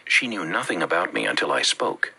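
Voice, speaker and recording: Gravelly Bahamian man preaching. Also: deep voice, big pitch swings, a little fast, bright, forceful tone, low-quality recording. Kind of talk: read-aloud religious teaching.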